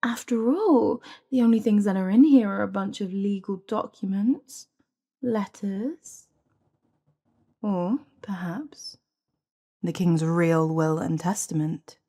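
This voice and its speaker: A woman performs a scheming royal advisor in a fantasy audio roleplay, a smug and menacing sorceress. The voice is dark and velvety with a creaky edge, drawling out mock courtesies slowly and theatrically, breathy and often sinking toward a whisper. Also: mid-range voice. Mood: smug, taunting. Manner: theatrical, mock-courteous, taunting, drawn-out phrasing.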